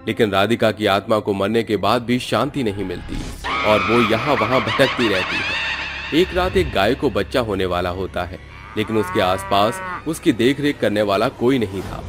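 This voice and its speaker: A man's voice: lowish voice, expressive, moderate pace, over loud music. A man narrating a scripted cartoon story as the storyteller.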